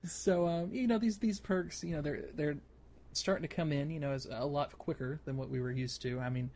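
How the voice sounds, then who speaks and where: A man's voice: on the high side, fairly quick, a little mellow. A man hosting a gaming podcast, explaining things casually in a loose, hesitant way. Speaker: podcast host.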